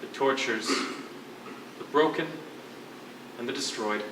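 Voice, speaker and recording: A stage actor playing a world-weary, wry imprisoned writer with a wordy, formal, sardonic manner. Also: male voice, medium pitch, takes it slowly, fairly bright tone. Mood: grim storytelling. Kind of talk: theatrical stage acting. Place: on a stage in a hall. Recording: echoey theatre stage, distant mic, faint noise.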